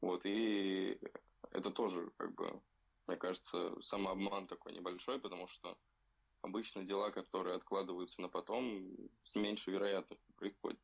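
Gravelly low-voiced young man, hesitant. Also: little pitch movement, lo-fi sound. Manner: hesitant, many fillers, slow and searching. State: slightly ill. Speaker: podcast co-host. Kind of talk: casual podcast chat. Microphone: remote recording.

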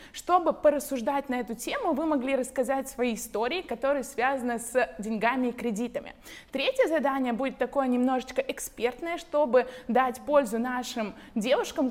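A woman hosting a talk show in a studio, introducing the program and guests in an upbeat, measured way. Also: high-pitched, darkish tone.

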